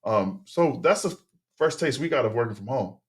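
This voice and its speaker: A middle-aged man whose first tongue is Tongan speaks at an ordinary mid pitch. He talks like a relaxed podcast guest who circles back and restarts sentences. The tone stays easy and conversational. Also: normal speed. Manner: relaxed, rambling, many fillers and restarts. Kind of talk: casual interview storytelling.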